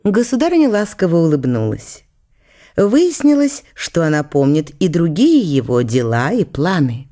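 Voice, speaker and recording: Low-voiced, very expressive female narrator. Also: normal speed. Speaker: narrator in a radio drama. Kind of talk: dramatised literary narration.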